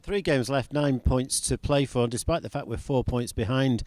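A man's voice: mid-range voice, moderate pace, a little mellow, no room sound. A man interviewing a football manager after a match, summing up the game plainly and factually. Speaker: club TV interviewer. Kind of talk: post-match interview questions.

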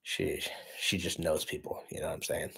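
A man in his thirties co-hosting a casual car livestream from a living room, chatting to viewers with lots of fillers and friendly shout-outs. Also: rumbling low, completely flat delivery, normal speed, breathy edge. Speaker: car channel co-host reading live chat.

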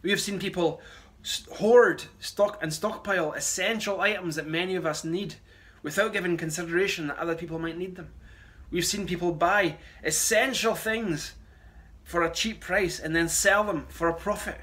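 A pastor, a man in his thirties, delivers a conversational sermon. His voice is bright and forceful and pitched a bit high. He explains things earnestly, speaking to a phone. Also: normal speed. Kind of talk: sermon, conversational address to camera. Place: small bright room at home. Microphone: phone a short distance away.

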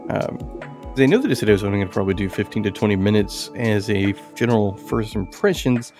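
A man's voice: on the low side, quick pace, music in the background. A man giving casual gameplay commentary, musing and thinking aloud as he goes. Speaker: game streamer reviewing indie games.

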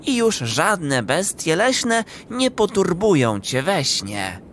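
A sly fox from a children's radio play. He stretches his words slowly, and his pitch swoops widely. Faint noise sits under the voice. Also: very animated, fairly bright tone, full character voice. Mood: reassuring. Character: sly male fox giving advice.

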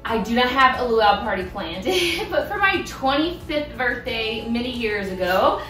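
A woman in her thirties chatting casually about her shopping haul, bubbly and quick to laugh, recorded in a home kitchen. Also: fairly high pitch, lively intonation, fairly bright tone, distant mic. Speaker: vlogger. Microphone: camera across the room. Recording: roomy kitchen, voice from off-mic, music behind.

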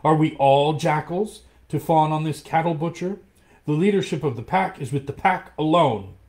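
A man voicing a bold, defiant boy raised by wolves, speaking loud and high. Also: sing-song, unhurried.

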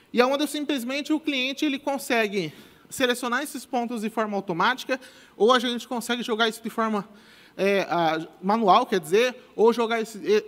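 Young Brazilian male presenter, high voice, loose and repetitive delivery, live stage mic. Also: a bit bright. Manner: casual, repeats "tá?" as a tag, many restarts. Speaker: conference speaker. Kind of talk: technical conference talk. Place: large conference stage with audience. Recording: long hall reverb.

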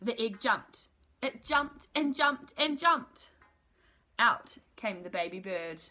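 A woman in her thirties reading a children's storybook aloud in an expressive, deliberate, emphatic way. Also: on the high side, slight background noise. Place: small room at home, indoors.

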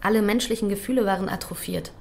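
An actress playing a cool, clever young heiress who speaks bluntly and with composure in a crime audio drama. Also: female voice, medium pitch, fairly even intonation, fast, a little mellow, lightly acted. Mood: bitter. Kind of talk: German radio play crime drama.

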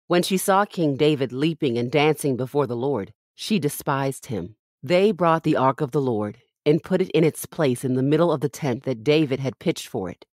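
A woman's voice: rumbling low, a little mellow, no echo, studio quality. A woman reading Bible passages aloud from a script as a podcast host.

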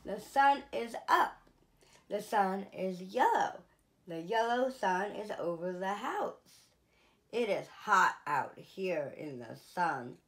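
Slow, expressive woman reading aloud. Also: mid-range voice. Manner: slow, clear and expressive, lifting her voice for the dogs' exclamations. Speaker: children's museum storyteller. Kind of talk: picture book read-aloud. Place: small indoor room, seated in an armchair.

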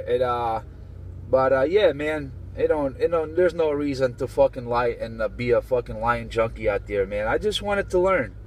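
A man's voice: fairly high pitch, slight background noise, rough recording. A man chatting on a CB radio, slangy and swearing, trading call signs. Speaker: CB radio operator. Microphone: radio transceiver mic. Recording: transmitted over CB radio.

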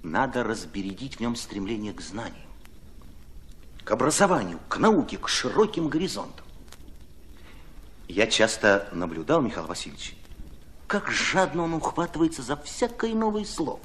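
An actor playing an earnest, ardent Red Army commissar in a radio drama, speaking in deliberate, impassioned phrases. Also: male voice, mid-range voice, very animated, fairly bright tone, slight background noise. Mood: earnest, thoughtful.

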